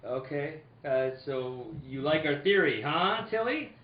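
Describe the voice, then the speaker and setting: Radio-play investigator, amateur performer: hardboiled male, wry and loose, animated mid pitch, muffled roomy sound. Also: measured pace, close to an everyday voice. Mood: wary. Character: Johnny Dollar, hardboiled but level-headed male investigator. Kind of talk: 1960s detective radio play, live amateur reading. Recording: echoey room, muffled and noisy.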